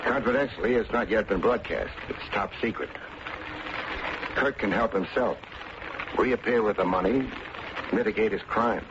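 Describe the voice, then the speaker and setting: An actor playing a wry, sardonic big-city private detective who needles people, in old-time radio drama style. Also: male voice, on the low side, moderate pace, long pauses, lightly acted. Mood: wry, teasing. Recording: dull, noisy vintage radio recording.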